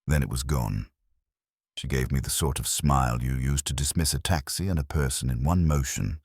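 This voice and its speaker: A man's voice: rumbling low, moderate pace, slightly rough, no echo, clean studio sound. A man reading first-person detective fiction as a scripted audiobook narration.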